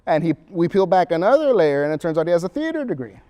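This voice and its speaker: A man's voice: high-pitched, very animated, fast, mellow, smooth. A young man, a student actor, talking casually in an interview. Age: young adult.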